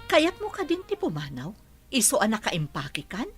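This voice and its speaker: An actress in a radio drama playing a kind, wealthy mother who speaks gently and with concern. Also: medium pitch, wildly swooping pitch, normal speed, slightly rough, no echo. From Philippines. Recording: radio drama with music underneath.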